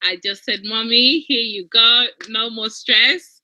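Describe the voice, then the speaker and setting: A woman's voice: fairly high pitch, unhurried, bright, forceful tone, highs cut off. A thirty-year-old woman from Uganda giving a casual, effusive testimony, repeating points for emphasis. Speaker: guest giving a success testimony.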